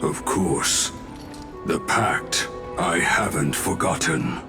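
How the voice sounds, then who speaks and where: Solemn fantasy game blacksmith, super slow gravel voice, archaic phrasing, echoey. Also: male voice, sits low, heavily acted. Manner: terse, archaic inverted phrasing. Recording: echoey, music underneath.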